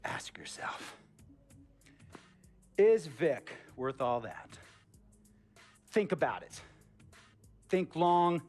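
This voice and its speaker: An actor playing a flamboyant, bullying billionaire who owns a basketball team, theatrical and preachy, in a video game cutscene. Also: male voice, a little high, big pitch swings, extremely slow, long pauses, faint music behind, full character voice. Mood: menacing, calm. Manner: theatrical, preachy, builds from hushed to shouting.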